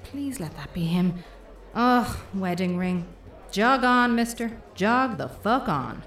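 A lively, mouthy female inner voice. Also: medium pitch, slow, echoey room, far from the mic, soft background music. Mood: sardonic, searching. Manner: sardonic, exasperated, sweary. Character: mischievous, mouthy inner voice of a dating-weary young woman. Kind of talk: audio drama comedy acting.

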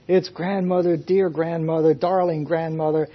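A man's voice: fairly high pitch, little pitch movement, normal speed, close to an everyday voice. A man reading aloud the lines of a weary, doting old grandmother in a storybook tale, in a dull lo-fi recording.

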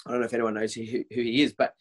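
A man in his thirties, a worship leader, talks about his work in a casual interview. His voice sits on the higher side, and he speaks with eager energy, tripping over and restarting his sentences. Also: completely flat delivery, rapid-fire. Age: 30s. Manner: enthusiastic, many restarts and repeated words.

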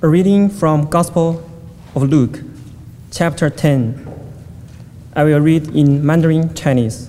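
Dark-toned man reading scripture. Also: on the high side, takes it slowly, pauses often. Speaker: scripture reader. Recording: long hall reverb, faint room noise.

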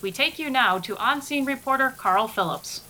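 A woman's voice: sits high, expressive, moderate pace, bright, forceful tone, rough recording. An actress playing a formal, composed woman studio announcer in a 1930s radio broadcast spoof.

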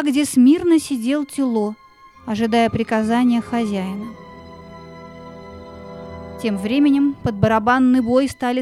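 A woman reading expressive scripted narration for a fairy-tale audio play, with music behind her. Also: fairly high pitch.

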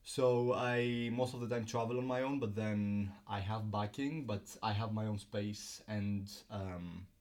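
A man's voice: lowish voice, steady tone, unhurried, few pauses, darkish tone. A young man from Greece, a filmmaker and student guest, talking casually with many ums and restarts. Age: young adult. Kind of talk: casual interview.

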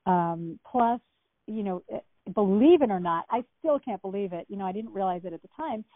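A woman's voice: mid-range voice, animated, moderate pace, no echo. A woman talking as an actress guest in a casual interview, chatty and rambling with many fillers and restarts. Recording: tinny phone-like line.

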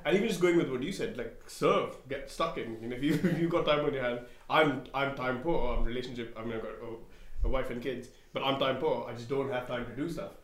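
Indian-accented man with a cold, quick host, echoey room, faint hiss. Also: mid-range voice. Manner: quick, enthusiastic, laughs often. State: has a cold. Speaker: church podcast host. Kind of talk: casual chat.

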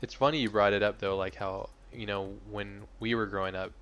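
A fairly low-pitched man in his thirties, from Hawaii, hosting a casual podcast. He rambles through thoughts, swears freely and laughs often, keeping the talk loose and easygoing. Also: fairly even intonation, brisk, a bit bright, clear, resonant tone. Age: 30s. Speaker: podcast host. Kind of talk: casual chat.

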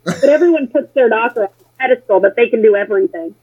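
Husky, high-voiced blunt American woman. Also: expressive, quick pace, a little mellow. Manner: blunt, sarcastic, swears casually. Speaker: interview guest, former political candidate. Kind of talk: casual conversation, opinionated anecdotes. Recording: muffled lo-fi phone-like sound.

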